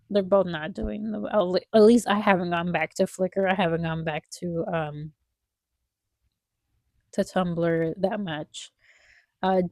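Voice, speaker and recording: Congested, low-voiced young woman chatting. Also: a little mellow, dry recording, studio quality. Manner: rambling, wistful, heavy on fillers. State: congested nose. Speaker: vlogger. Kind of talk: casual chat while drawing.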